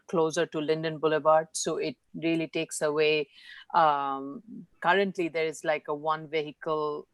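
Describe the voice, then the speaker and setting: Low-voiced lady commissioner on a dry-sounding call, lots of uhs and ums. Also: no echo. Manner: measured, hesitant, many fillers. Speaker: board commissioner. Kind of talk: public hearing remarks by teleconference. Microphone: teleconference call.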